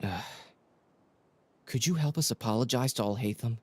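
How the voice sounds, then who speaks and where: A man's voice: medium pitch, normal speed, background noise, natural voice. A man voicing a guilt-stricken male follower in a game, anxious and apologetic.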